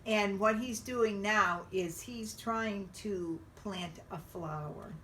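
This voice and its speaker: A woman reading a picture book aloud to young children, expressively and with drawn-out emphasis. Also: medium pitch, sharp tone, distant mic, a little hiss or hum. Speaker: children's storybook reader.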